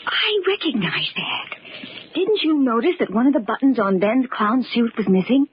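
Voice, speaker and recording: An actress playing an eager, loyal confidante in a 1940s radio mystery, earnest and insistent in a theatrical way. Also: female voice, a little high, big pitch swings, normal speed. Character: eager loyal woman confidante turned amateur sleuth. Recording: dull, noisy old radio recording.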